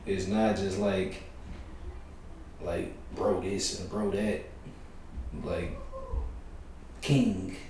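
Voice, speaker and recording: A man, hosting a podcast in a relaxed, storytelling manner. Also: deep voice, extremely slow, pauses often. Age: 30s. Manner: relaxed, storytelling with quoted voices. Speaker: podcast host. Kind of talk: casual chat. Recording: roomy echo, distant mic, faint hiss.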